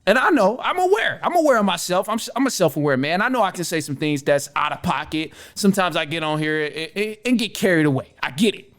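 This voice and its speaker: A man's voice: sits high, animated, fast, hardly any pauses, fairly bright tone. A man hosting a sports podcast, giving casual, opinionated commentary in slangy, emphatic language with repeated phrases.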